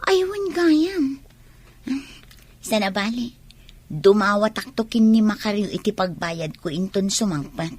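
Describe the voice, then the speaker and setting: An actress with an Ilocano Filipino accent playing a self-centred, demanding young college student with a husky voice in a radio drama. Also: female voice, mid-range voice, expressive, a little slow, darkish tone. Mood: fretful.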